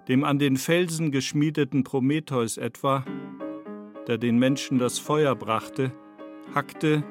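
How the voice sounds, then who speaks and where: A man reading a scripted essay as a radio narrator, with measured, rhetorical literary phrasing. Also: medium pitch. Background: music with guitar.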